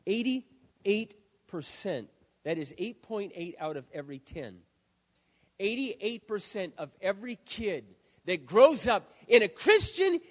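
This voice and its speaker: A man preaching a sermon as pastor, hoarse, swinging from quiet earnest talk to loud impassioned shouting. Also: very high voice. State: hoarse, says he has lost his voice. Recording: dull sound with long hall reverb.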